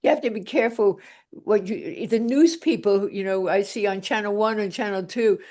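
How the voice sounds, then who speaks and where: A woman's voice: mid-range voice, moderate pace, dark tone. An older woman chatting casually in a group, blunt and rambling in the way she talks.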